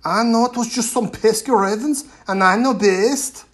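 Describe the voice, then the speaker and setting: A storyteller voicing a stubborn, bossy male Viking chieftain who booms and brushes everyone off in a storybook reading. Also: animated, slow pace. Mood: booming. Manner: booming, dismissive.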